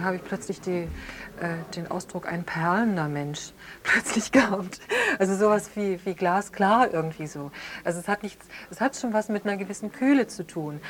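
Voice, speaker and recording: A woman's voice: fairly low pitch, a little hiss or hum, natural voice. A woman playing a reflective close friend being questioned in a naturalistic radio drama, thinking aloud and searching for words. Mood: pensive. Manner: thoughtful, searching for words, halting. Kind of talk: improvised naturalistic interview drama.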